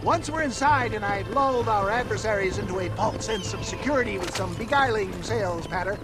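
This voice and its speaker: A man's voice: sits high, a little fast, over loud music, close to an everyday voice. An actor playing a grandiloquent, nervous old male huckster in a video game cutscene. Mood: pompous, conspiratorial.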